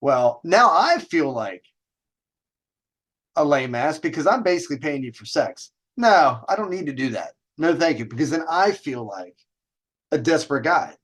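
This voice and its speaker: A man's voice: a little high, big pitch swings, normal speed, fairly bright tone. A man hosting a dating advice show, telling stories casually in a brash, emphatic way, drawing out stressed words and throwing in rhetorical questions. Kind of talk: casual storytelling monologue with advice to viewers.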